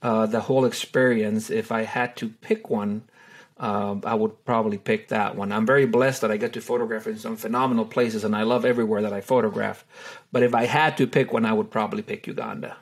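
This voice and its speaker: Middle-aged man from Honduras, gravelly voice, unhurried and full of fillers, an easygoing interview guest. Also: fairly low pitch, fairly even intonation. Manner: hesitant, many fillers and restarts. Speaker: wildlife photographer and tour guide. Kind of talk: relaxed interview answers.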